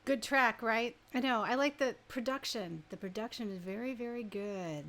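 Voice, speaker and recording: High-voiced woman DJ chatting casually. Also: moderate pace, fairly bright tone. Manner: conversational, rhetorical questions and frequent tag questions. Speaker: radio DJ and host. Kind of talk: casual music chat to live listeners.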